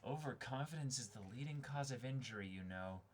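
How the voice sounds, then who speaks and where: A man's voice: mid-range voice, animated, fairly quick, close to an everyday voice. A man voicing a young female dive shop worker in a manga reading, polite but stubborn and lecturing. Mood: sternly scolding.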